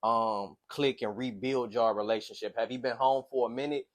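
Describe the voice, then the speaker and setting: A man's voice: mid-range voice, steady tone. A man, hosting a casual interview with slangy, easygoing questions. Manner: easygoing, slangy, quick affirmations. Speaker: interviewer, host.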